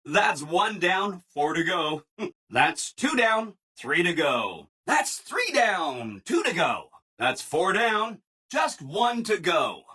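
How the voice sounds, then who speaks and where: Eager-to-please game robot, chipper, gushing, bright forceful tone, swooping pitch. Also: male voice, very animated, slow, slightly rough, full character voice. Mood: chirpy, eager. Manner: chipper, exaggeratedly agreeable, gushing. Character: relentlessly cheerful, eager-to-please male robot assistant. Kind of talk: video game character dialogue.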